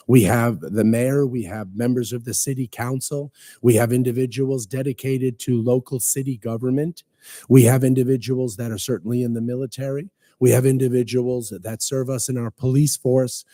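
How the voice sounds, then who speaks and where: A man with a hoarse voice from a cold, giving a formal, earnest speech as guest speaker at a veterans breakfast in a council chamber. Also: mid-range voice, dark tone, dry recording. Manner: earnest, ceremonial, with light humor.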